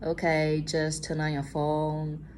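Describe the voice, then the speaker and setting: Chinese woman vlogging at home, chatty, low voice, bit of faint hiss behind. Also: completely flat delivery, slow pace, darkish tone. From China. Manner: chatty, repeats "okay?" and "like, say". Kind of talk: casual chat to camera. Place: room at home at night.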